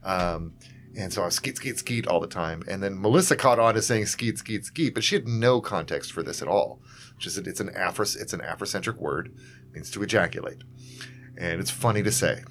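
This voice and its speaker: A man's voice: deep voice, fairly quick, vocal fry, fairly bright tone, slight background noise. A man co-hosting a podcast, rambling with many fillers and laughing asides in casual chat. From Portland, Oregon. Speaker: podcast co-host.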